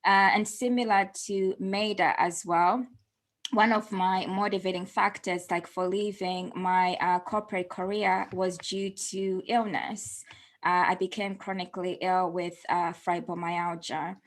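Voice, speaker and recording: A woman who grew up in the United States hosts a casual video interview. Her voice sits a little low, and she talks in a chatty, relaxed way, full of small fillers. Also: unhurried. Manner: conversational, chatty, with frequent fillers. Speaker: host.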